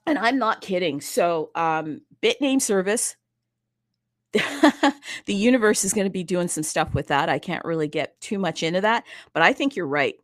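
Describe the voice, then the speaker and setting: A woman hosting a casual chat about crypto. She is bubbly and excitable and talks fast in bursts. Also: fairly low pitch, no echo, clean studio sound. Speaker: host.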